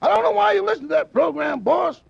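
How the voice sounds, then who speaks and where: An actor playing a cheerful, wisecracking valet in a 1950s radio comedy, with a raspy voice. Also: male voice, very high-pitched, animated, fast talker, full character voice. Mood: teasing. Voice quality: gravelly, raspy. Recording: muffled old radio recording with hiss.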